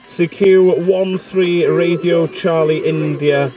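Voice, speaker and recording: A man from Wales with a Welsh accent, working a CB radio and slowly spelling out call signs. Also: a little high, fairly even intonation, crowd noise, rough recording. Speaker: CB radio operator.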